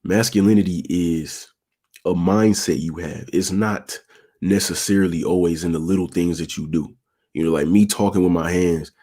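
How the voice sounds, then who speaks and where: A young man hosting his channel, giving casual advice to viewers in a laid-back way, with a low, monotone, raspy voice. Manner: laid-back, peppered with "you feel me", talks with his hands. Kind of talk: casual advice talk answering viewer questions.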